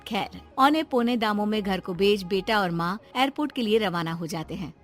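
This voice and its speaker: एक महिला, नाटकीय अंदाज़ में लिखी हुई कहानी सुनाती कथावाचिका।. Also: female voice, mid-range voice, expressive, normal speed, soft background music. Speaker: storyteller. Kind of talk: scripted dramatic narration.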